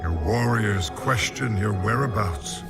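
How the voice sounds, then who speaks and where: An actor voicing a proud, devout alien warrior lieutenant with formal, declamatory speech. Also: male voice, on the low side, a little slow, vocal fry. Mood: proud, fervent. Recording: loud dramatic music under the voice. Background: loud music.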